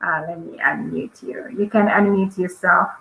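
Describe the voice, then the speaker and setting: Bright-voiced woman hosting a video call. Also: lowish voice, steady tone, normal speed, vocal fry. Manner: conversational, with frequent "like" and fillers. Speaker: host. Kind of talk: casual video call chat. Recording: roomy echo.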